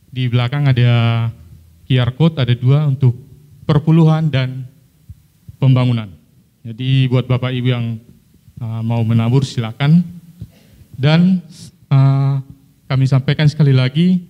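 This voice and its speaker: A man with a Batak accent giving friendly, halting announcements at a church service. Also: mid-range voice, highs cut off.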